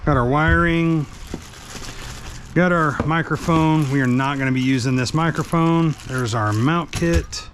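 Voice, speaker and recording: A man's voice: on the high side, a little slow. Casual man talking through a hands-on job, faint noise. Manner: informal, talking through the task as he works. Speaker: vlogger, truck driver. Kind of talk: casual hands-on how-to narration. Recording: background noise while working.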